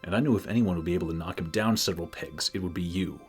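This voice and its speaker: Cocky, defiant bounty hunter. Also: male voice, deep voice, rapid-fire, slightly rough, faint music behind. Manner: smug, insolent, self-assured. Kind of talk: dramatic audio drama acting.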